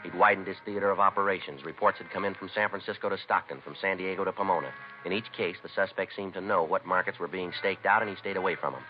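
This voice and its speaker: An American man playing a terse, dogged police detective in a 1950s radio crime drama, speaking in a deadpan, clipped, businesslike way. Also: deep voice, fast talker, faint music behind, natural voice. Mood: matter-of-fact. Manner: deadpan, clipped, matter-of-fact. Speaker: detective and narrator. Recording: dull, noisy vintage radio recording.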